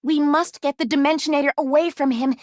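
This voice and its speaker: A woman's voice: high voice, fairly even intonation, fairly quick, no room sound, clean studio sound, lightly acted. An actress voicing a timid, earnest, bookish young female robot in a video game, with polite, formal and precise diction. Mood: curious, matter-of-fact. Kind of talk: video game character voice lines.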